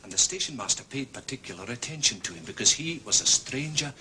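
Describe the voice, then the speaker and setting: Scottish small-town police inspector, proud n precise, bright voice, swings up and down, bit of hiss. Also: male voice, medium pitch, lively intonation, fast. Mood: quietly confident. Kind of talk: British period detective drama acting.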